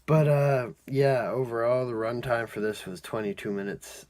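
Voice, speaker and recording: A man's voice: medium pitch, fairly even intonation, normal speed, darkish tone. A man casually reviewing and recapping a cartoon episode in a rambling, informal, amused way.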